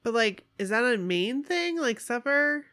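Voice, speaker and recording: A woman's voice: on the high side, big pitch swings, measured pace, fairly bright tone. Congested, nasal woman co-host, bubbly, casual. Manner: bubbly, giggly. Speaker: podcast co-host. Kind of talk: casual chat.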